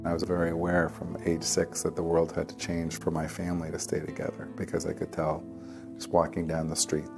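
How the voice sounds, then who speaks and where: Documentary interviewee, male. Deep with vocal fry, plain-spoken and halting, reflective personal storytelling.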